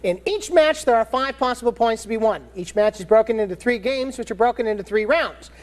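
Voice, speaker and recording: A man's voice: very high voice, expressive, a little fast. A man co-hosting a televised gaming tournament, reading out the rules and throwing in dry, sarcastic asides. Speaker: co-host. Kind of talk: scripted TV hosting banter.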